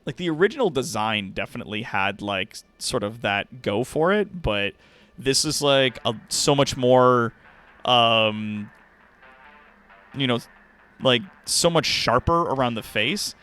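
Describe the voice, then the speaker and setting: A man's voice: medium pitch, expressive, a little slow, sharp tone. A man hosting a livestream in a casual, rambling, chatty way, informal and given to swearing. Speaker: livestream host.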